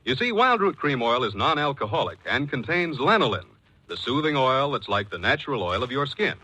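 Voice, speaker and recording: A man's voice: mid-range voice, sing-song, a little fast, bright, forceful tone, breathy edge, no room sound, low-quality recording. An American man announcing a 1940s radio show and its commercials with polished, emphatic salesmanship.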